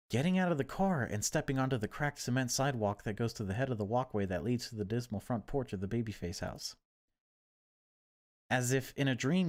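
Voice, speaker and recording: A man reading horror game text aloud as dramatic narration, in deliberate, broken phrases. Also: lowish voice, darkish tone, no echo. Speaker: gaming video creator reading a visual novel aloud.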